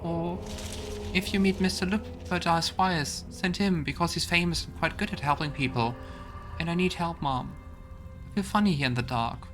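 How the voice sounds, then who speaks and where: A man voicing a frightened, lost little child who pleads naively and haltingly for a mother. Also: a little high, music in the background, pitched above the performer's own voice, close to an everyday voice. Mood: scared, pleading. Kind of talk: reading a found letter aloud in character in a video game let's play.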